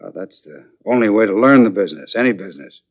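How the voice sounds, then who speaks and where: An actor playing a middle-aged, formal businessman father in a TV drama, halting and deliberate in an uneasy way. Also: on the low side, expressive. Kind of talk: 1980s primetime TV drama acting. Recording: muffled old TV drama soundtrack.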